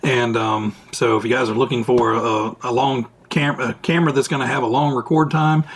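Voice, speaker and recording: A man hosting a hobby vlog, answering viewer questions in a rambling, chatty way, his voice raspy and tired after hours of recording at home. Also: medium pitch, fairly even intonation, moderate pace, a little hiss or hum. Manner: rambling, chatty, self-interrupting. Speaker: RC hobby channel host. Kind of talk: casual Q&A vlog talk. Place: at home, indoors. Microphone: camera mic.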